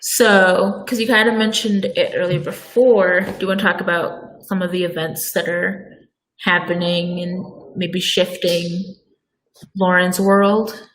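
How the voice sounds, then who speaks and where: A woman's voice: fairly low pitch, fairly even intonation, a little slow, poor audio. A woman co-hosting a book discussion in a casual, conversational way, informal and inclined to prompt her partner. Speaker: book club discussion host. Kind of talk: casual chat.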